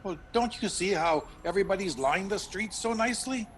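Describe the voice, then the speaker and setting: A man's voice: sits high, brisk, slight background noise, close to an everyday voice. A man playing an officious, chatty street policeman in a theatrical radio play. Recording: radio play with music behind.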